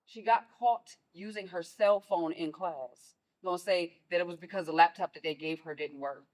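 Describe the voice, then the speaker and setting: A woman's voice: fairly low pitch, normal speed, lightly acted, rough recording. A woman playing a stern, protective mother in a stage play, scolding and pressing with pointed questions. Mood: worried, annoyed. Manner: forceful, scolding, rhetorical questions.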